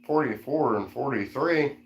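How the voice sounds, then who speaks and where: A man's voice: mid-range voice, unhurried, vocal fry, roomy sound. A man in his forties co-hosting a casual live-stream chat, easygoing and reading out viewer comments. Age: 40s. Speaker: co-host.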